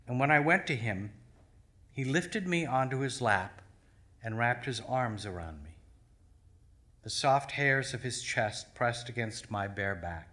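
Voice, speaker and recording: A man reading his own short story aloud on a small theater stage, measured, his voice dropping to a hush at the ends of lines. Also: medium pitch, expressive. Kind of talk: live literary reading.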